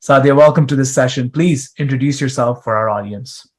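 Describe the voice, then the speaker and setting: A man's voice: medium pitch, fairly quick. A young man from East Africa hosting a conference interview, talking in a conversational, joking way. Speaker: host, interviewer.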